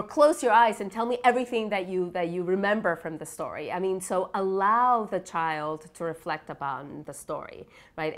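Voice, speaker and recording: Lower-pitched woman from Peru, reflective. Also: fairly bright tone. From Lima, Peru. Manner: halting, reflective, often seeks agreement with tag questions. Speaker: developmental psychology researcher being interviewed. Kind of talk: explanatory interview answers.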